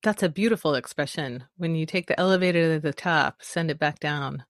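A woman hosting a podcast who reads a guest introduction and then asks gently curious questions, affirming the guest and hesitating with "um" before asking. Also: deep voice, a little fast, no room sound, studio quality. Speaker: podcast host.

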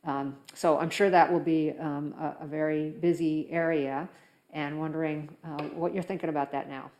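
A woman with a notably low-pitched voice works as an interview host. She speaks conversationally, in halting, thoughtful phrases broken by frequent pauses. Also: fairly even intonation, a little mellow.

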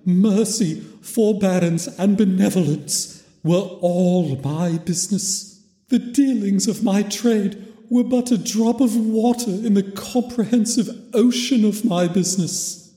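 A man voicing a mournful male ghost in chains, solemn and drawn-out, with an echoing reverb effect. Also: high voice, mellow, pitched above the performer's own voice. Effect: hall reverb. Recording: long echoey reverb.

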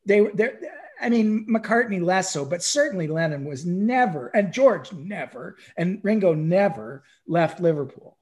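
Podcast discussion voice: mid-pitched, halting and conversational, repeats words, tags phrases with "right?". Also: expressive, darkish tone. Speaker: podcast speaker. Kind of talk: casual discussion of music history.

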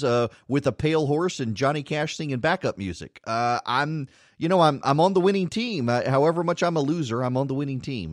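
A man's voice: mid-range voice, no room sound. Self-deprecating male radio host, dry sound. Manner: self-deprecating, repeats words while speaking. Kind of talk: reflective personal talk on faith.

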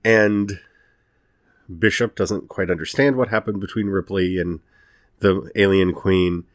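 A man giving casual solo podcast commentary as the host, speaking emphatically in short, halting phrases. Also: sits low, fairly even intonation, dry recording, clean studio sound. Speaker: podcast host reviewing films.

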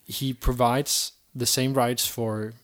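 A man with an average-pitched voice talks in a casual podcast discussion. He is a co-host who speaks haltingly, often doubling back on words while he works out his thought. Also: level tone.

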